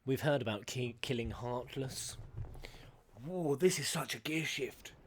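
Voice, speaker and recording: A man's voice: mid-range voice, a little slow, a little hiss or hum. A man giving casual, slangy live reaction commentary as a streamer, musing aloud as he watches game cutscenes. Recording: game music behind the voice.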